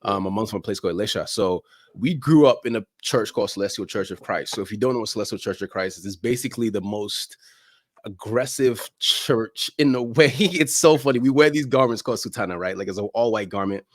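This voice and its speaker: Male podcast guest, lowish register, dry close sound; conversational storyteller, emphatic, repeats himself for effect. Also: brisk, dry recording. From Nigerian heritage, spent childhood time in South London. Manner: emphatic, repeats phrases, checks with "right?". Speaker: podcast guest, soccer media figure.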